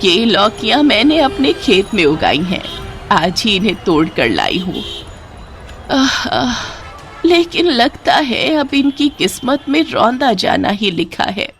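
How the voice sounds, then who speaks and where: A woman plays an old village grandmother in a moral-story cartoon. She is kindly and weary, speaking slowly in a grandmotherly way over faint music. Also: on the high side, very animated, a bit bright, full character voice. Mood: weary, dejected.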